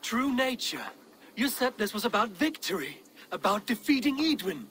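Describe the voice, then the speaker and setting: Blunt, bright-voiced Viking warrior. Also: male voice, extremely high pitch, sing-song, normal speed, slight background noise, heavily acted, rough recording. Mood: incredulous. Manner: blunt, challenging. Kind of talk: dramatic video game acting.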